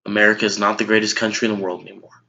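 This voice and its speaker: A man's voice: on the low side, flat delivery, very fast, bright, forceful tone, pitched below the performer's own voice. A man playing a blunt, exasperated middle-aged news anchor in an emphatic, lecturing dramatic monologue. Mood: solemn, wistful. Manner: emphatic, lecturing, list-driven delivery. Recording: dull lo-fi audio.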